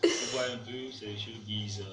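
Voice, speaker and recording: A man's voice: lowish voice, fairly even intonation, moderate pace, crowd noise. A man with a Togolese French accent giving casual, colloquial answers as a guest rapper in a radio interview. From Togo. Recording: echoey room, distant mic, faint music.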